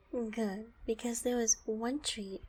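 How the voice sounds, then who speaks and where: Woman acting a breathy, gentle young queen. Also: a little high, slow pace. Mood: playful, affectionate. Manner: soft, breathy, dropping into whispered asides. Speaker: voice actor in audio roleplay. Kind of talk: scripted ASMR roleplay acting.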